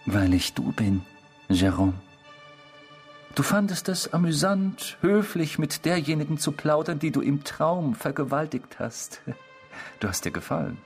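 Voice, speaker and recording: An actor in a radio drama playing a sardonic, tormenting inner voice, with a husky, mocking and theatrical delivery. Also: male voice, on the high side, very animated, measured pace, faint music behind. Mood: coolly taunting. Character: sardonic tormenting inner voice claiming to be a man's destructive self.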